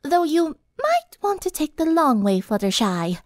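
Refined, dramatic young lady, performed by a woman reader; composed, raised pitch, expressive range. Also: unhurried, no room sound, clean studio sound. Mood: weary.

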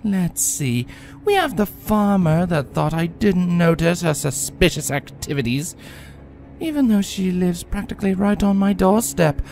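A man voicing a regal, scheming female pony tyrant who talks slowly and mockingly in a purring voice. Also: high voice, a little mellow, soft background music, voice pushed up. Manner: slow, purring, contemptuous.

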